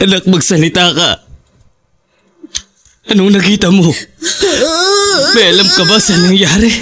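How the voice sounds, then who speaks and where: A man's voice: high-pitched, fairly even intonation, a little slow, pushed and bright. A man with a Filipino accent acting a distraught husband and father in a horror audio drama, urgent and pleading. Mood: anguished.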